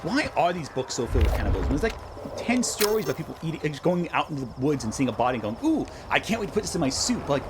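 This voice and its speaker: A man's voice: fairly high pitch, big pitch swings, fast talker, background noise. A man delivering scripted video-essay narration with casual, wry, incredulous asides.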